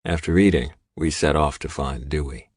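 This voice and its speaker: A man's voice: a bass voice, fairly even intonation, normal speed, a little mellow, slightly rough, dry recording, studio quality. A man narrating first-person fiction as a scripted audiobook.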